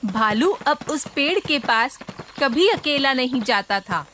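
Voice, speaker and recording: A woman's voice: high-pitched, lively intonation, slow pace, a bit bright, slight background noise. A woman giving scripted narration of a children's story.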